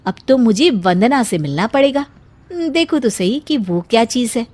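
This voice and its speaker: A woman's voice: on the high side, animated, normal speed, a little mellow. A woman voicing a puzzled, scheming housemaid thinking aloud in a cartoon story, musing and exclamatory. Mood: surprised, pondering.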